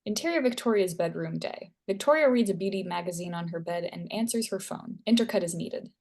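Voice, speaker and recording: A woman's voice: lowish voice, lively intonation, brisk, a little mellow. A woman narrator reading screenplay stage directions at a table read.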